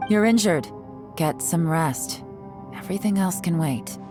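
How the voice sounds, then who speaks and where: A woman plays a cool, shrewd female investigator in a video game. Her voice is low and measured, with a composed, knowing manner, and faint music sits beneath it. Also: natural voice. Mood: firm. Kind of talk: English game dub voice acting.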